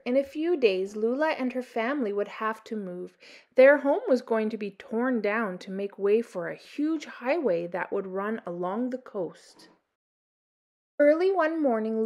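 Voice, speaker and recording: A middle-aged woman reads a picture book to children. Her voice is fairly high, and she tells the story expressively. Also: a little mellow. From Canada. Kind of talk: expressive read-aloud storytelling.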